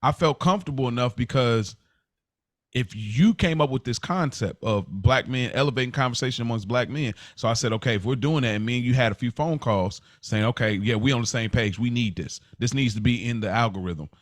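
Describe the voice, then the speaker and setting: A man's voice: mid-range voice, brisk, no room sound, studio quality. A man speaking as a podcast co-host in casual, reflective conversation, earnest and conversational in his delivery.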